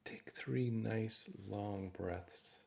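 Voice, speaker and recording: Low gravelly man guiding a meditation. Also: very slow. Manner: gentle, reassuring, instructive. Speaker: meditation guide. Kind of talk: guided meditation narration.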